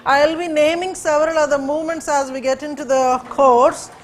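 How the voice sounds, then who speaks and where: A woman's voice: high-pitched, steady tone, moderate pace, a little hiss or hum. A woman from India, whose first language is Urdu, gives a classroom lecture in Indian English, hesitant and full of fillers. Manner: hesitant, many fillers and restarts. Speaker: teacher.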